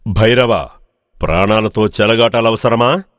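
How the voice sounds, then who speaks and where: A man plays a village elder in an animated folk tale, cautious and full of doubt. The voice is fairly low and weighty, questioning, with a very dry sound. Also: measured pace, dry recording. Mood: doubtful. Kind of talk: animated moral story dubbing.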